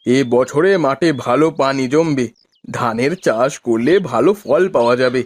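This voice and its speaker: A man's voice: medium pitch, normal speed, no echo, natural voice. A man voicing a cheerful, polite farmer husband from a village, in cartoon dialogue. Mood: cheerful, eager.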